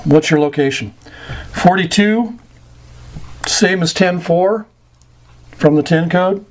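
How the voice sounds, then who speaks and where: An American man giving an informal instructional talk, plain-spoken and pausing between short phrases. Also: high voice. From United States. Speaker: amateur radio instructor. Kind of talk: informal slide lesson explaining radio slang.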